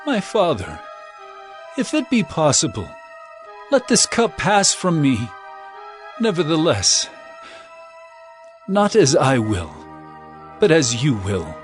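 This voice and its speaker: An actor playing a solemn, sorrowful male teacher and prophet in a dramatized scripture recording, speaking earnestly and deliberately. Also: on the high side, wildly swooping pitch, soft background music, heavily acted. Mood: sorrowful pleading.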